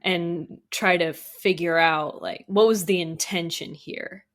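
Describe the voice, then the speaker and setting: Woman w a lowish voice, composer guest on a casual interview, chatty and into it. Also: steady tone, slow pace. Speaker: guest, composer for audio dramas.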